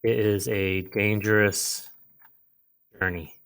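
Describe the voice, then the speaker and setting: Clear-voiced man teaching a lesson. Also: fairly low pitch, little pitch movement, a bit bright. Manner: step-by-step explaining, pausing and repeating himself. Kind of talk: instructional lesson, modelling a writing task aloud.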